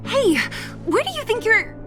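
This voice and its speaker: A woman's voice: very high voice, sing-song, normal speed. An actress playing a feisty, protective young woman in a video game, blunt, brash and impatient in the way she talks. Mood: indignant. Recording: guitar music behind the voice. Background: plucked guitar music.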